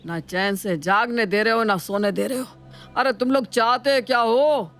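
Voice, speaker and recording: A man's voice: extremely high pitch, moderate pace, fairly bright tone, faint music behind. A grumbling older Indian father-in-law from a cartoon family tale. He is thrifty and easily exasperated, and scolds in exclamations. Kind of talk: Hindi animated moral story acting.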